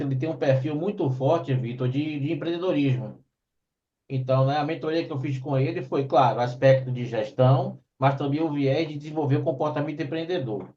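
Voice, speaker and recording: A man's voice: a little high, darkish tone, far from the mic, muffled recording. A man in his fifties hosting a casual chat, a mentor who likes folksy sayings. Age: 50s. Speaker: host.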